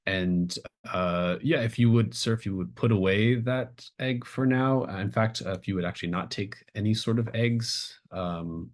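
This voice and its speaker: A man voicing a flustered male institute clerk in a tabletop game, halting, apologetic and often correcting himself. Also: lowish voice, pitched above the performer's own voice, lightly acted. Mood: nervous, officious.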